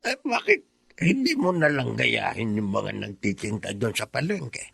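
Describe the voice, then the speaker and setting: A sympathetic, plain-spoken neighbour in a radio drama. He sounds gravelly and folksy, with pitch that rises and falls widely. The recording is dry and close. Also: very animated, unhurried, fairly bright tone, dry recording, full character voice. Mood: sympathetic, advising. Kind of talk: Filipino radio drama acting.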